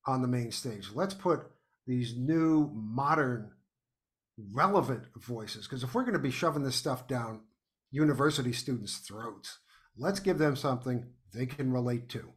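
A man's voice: medium pitch, expressive, unhurried. Casting: male interview guest, mid-range voice, conversational, opinionated, emphatic. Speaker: podcast guest, playwright.

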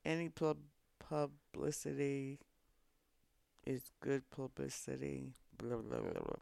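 A woman's voice: very deep voice, wildly swooping pitch, slow, gravelly, warm tone, dry recording. A woman co-hosting a casual podcast, firing off skeptical questions and short reactions. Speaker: podcast co-host. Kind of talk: casual chat.

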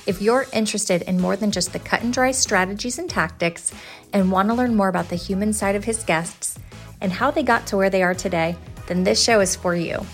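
A woman reading a scripted show introduction in a smooth, even manner. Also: medium pitch, brisk, soft background music. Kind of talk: scripted announcement.

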